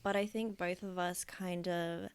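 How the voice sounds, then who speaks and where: Creaky, smooth-voiced Australian woman in her thirties. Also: lowish voice, steady tone, measured pace, no echo. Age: 30s. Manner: chatty, laughs easily, many fillers. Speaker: podcast co-host. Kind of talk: casual chat.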